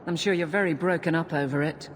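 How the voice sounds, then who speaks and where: A stern, self-reliant woman in a fantasy game, acted by a woman. Her voice is on the low side, formal and firm, with clear background noise. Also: quick pace, natural voice. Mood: sarcastic. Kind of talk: video game voice acting.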